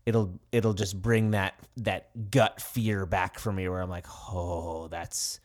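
A man's voice: low-pitched, steady tone, slow. A man co-hosting a podcast in casual conversation, often repeating words and restarting his sentences. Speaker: podcast co-host.

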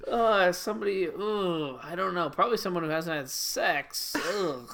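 A man's voice: high voice, moderate pace, a crowd in the background. A man co-hosting a trivia show, chatting casually and joking sarcastically as he reads out answers. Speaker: trivia co-host. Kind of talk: casual comedic chat reading trivia answers.

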